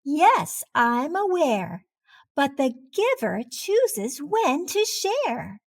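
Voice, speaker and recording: A patient, firm lady schoolteacher from an undersea picture book, as a woman reader plays her. Her voice is high and very slow, with measured, instructive phrasing. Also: big pitch swings, creaky, heavily acted. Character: patient, firm female sea-creature schoolteacher.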